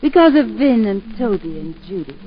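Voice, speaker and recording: A woman's voice: mid-range voice, big pitch swings, fairly quick, close to an everyday voice. An actress playing a middle-aged wife and mother who teases and coaxes her husband in a 1940s radio drama, also narrating. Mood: affectionate. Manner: gently teasing, coaxing. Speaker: narrator and lead. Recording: dull 1940s radio broadcast.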